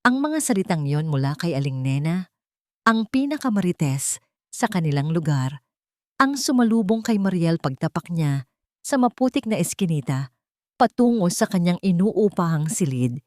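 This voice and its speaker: Female narrator for dramatic scripted fiction, slightly low register, dry studio-style sound. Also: very animated, moderate pace, a little mellow, no echo. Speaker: storyteller.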